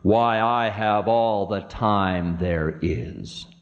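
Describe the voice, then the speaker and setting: A man's voice: on the low side, very slow, dull audio. A man voicing a defiant, mocking demon king with a scornful, theatrical drawl, in dramatic mythic storytelling. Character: Ravana, defiant, mocking twenty-armed male demon king. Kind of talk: dramatic oral storytelling of myth.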